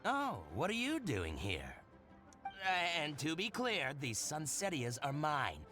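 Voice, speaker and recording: Wily haggling shopkeeper. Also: male voice, on the high side, wildly swooping pitch, slow pace, heavily acted. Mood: chatty. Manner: haggling, theatrical swoops. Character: shrewd middle-aged male boutique merchant. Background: game music.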